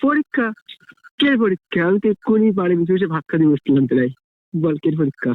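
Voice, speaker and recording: Young man, rapid plaintive talk, repeats himself, dry thin phone audio. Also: sits high, very animated, no echo. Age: young adult. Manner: flustered, repetitive, plaintive. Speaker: friend receiving a prank call. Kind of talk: casual phone chat. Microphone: phone line. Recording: tinny, phone-like call audio.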